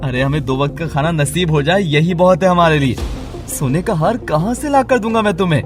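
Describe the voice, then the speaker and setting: A man playing a poor, hardworking, devoted husband in an animated moral story, earnest and pleading. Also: on the high side, fairly quick, over music, lightly acted. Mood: exasperated, pleading.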